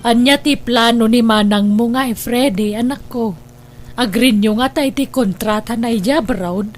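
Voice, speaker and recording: A woman's voice: a little high, moderate pace, soft background music, lightly acted. A woman playing a worried, scolding elderly grandmother in a radio drama, with a Filipino accent and a nagging manner. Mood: concerned. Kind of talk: Ilocano radio drama acting.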